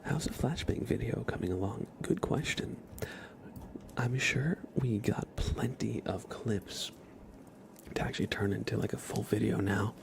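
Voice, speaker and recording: A man hosting an ASMR livestream, chatting casually and gently with viewers in a soft, breathy whisper. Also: on the low side, unhurried, noisy background. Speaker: live ASMR streamer and host.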